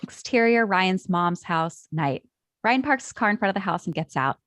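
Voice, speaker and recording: A woman reads stage directions as narrator for a script table read. The sound is dry and close, with a medium pitch. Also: expressive, moderate pace, fairly bright tone, no room sound.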